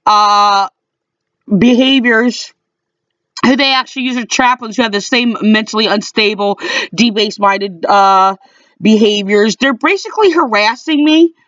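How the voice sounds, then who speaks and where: A woman's voice: mid-range voice, unhurried, a bit bright, recorded from a distance. An American woman speaks in an aggrieved, complaining way, as a vlogger talking into a handheld phone. She sounds set back from the mic, with dull sound and very little room echo. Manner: indignant, complaining, with sighs. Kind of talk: casual aggrieved monologue to camera. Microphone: phone held in hand.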